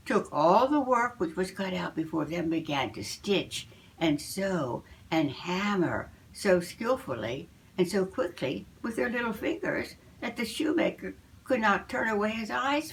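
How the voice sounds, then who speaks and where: An older woman reading a fairy tale aloud as a storyteller, in a measured, deliberate way. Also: on the low side, vocal fry, slight background noise, low-quality recording.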